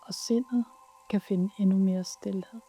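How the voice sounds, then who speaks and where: A woman leading a guided yoga nidra meditation in a soothing, soft, unhurried way with pauses. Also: mid-range voice, fairly even intonation, breathy edge.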